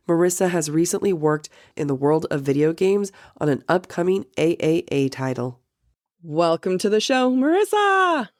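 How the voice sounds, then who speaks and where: Low-pitched woman hosting a podcast. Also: darkish tone, no room sound, studio quality. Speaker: podcast host. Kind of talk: scripted introduction, then casual chat.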